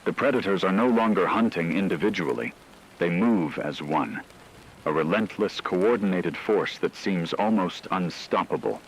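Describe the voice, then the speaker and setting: Very deep, ominous radio announcer played by a man. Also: moderate pace, a little hiss or hum, natural voice, low-quality recording. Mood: grave, ominous. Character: grave male radio announcer reporting a werewolf apocalypse. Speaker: radio emergency broadcast announcer. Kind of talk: scripted horror radio narration.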